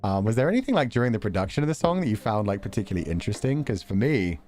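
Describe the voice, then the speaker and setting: Lively laughing man chatting. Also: lowish voice, very fast, soft background music. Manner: laughs often, self-deprecating, lively. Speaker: streamer. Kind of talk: casual chat on a stream.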